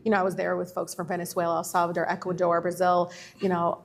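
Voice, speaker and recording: A woman's voice: on the low side, completely flat delivery, fast, creaky, a little hiss or hum. A woman politician answering interview questions, earnest and plain-spoken, telling anecdotes. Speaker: politician guest.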